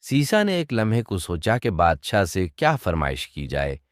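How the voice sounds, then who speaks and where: A man narrating a scripted children's story as an expressive storyteller. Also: sits low, normal speed, dry recording, studio quality.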